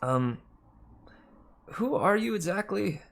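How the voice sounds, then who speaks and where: Earnest boy hero for a storybook, played by a reader: hesitant, plain-spoken, unhurried. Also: mid-range voice, animated, vocal fry, voice pushed up.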